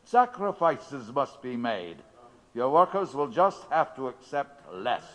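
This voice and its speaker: An actor playing a scheming, commanding power broker in an audio drama. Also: male voice, mid-range voice, big pitch swings, slow. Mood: imperious. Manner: commanding, calculating. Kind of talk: radio drama acting. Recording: reverberant hall-like room.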